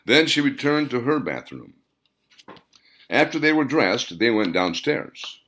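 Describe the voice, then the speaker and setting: A man reading his own novel as an audiobook in a deliberate, phrase-by-phrase narration. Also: mid-range voice, big pitch swings, fairly bright tone. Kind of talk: scripted fiction narration.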